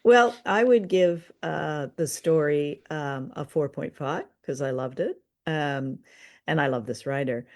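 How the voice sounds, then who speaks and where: A woman's voice: sits low, unhurried. A woman co-hosting a podcast, chatting casually and appreciatively as she reviews radio dramas.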